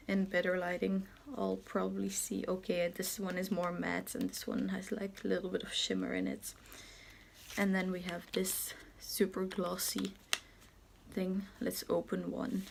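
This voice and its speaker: A young woman in her twenties with a slightly raspy just-woken voice and hiccups, chatting casually while showing gifts, recorded on a handheld camera. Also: lowish voice, completely flat delivery, measured pace, a bit bright, echoey room, a little hiss or hum. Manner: chatty, self-narrating while wrapping gifts. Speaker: vlogger. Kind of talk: casual vlog chat. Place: home kitchen and living room.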